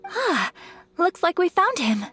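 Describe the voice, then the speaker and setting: High-voiced, refined young noblewoman. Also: sing-song, fairly bright tone, soft background music, exaggerated voice. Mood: concerned. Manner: formal, courteous, measured. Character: young noblewoman, refined, polite, gentle and composed. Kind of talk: scripted game dialogue.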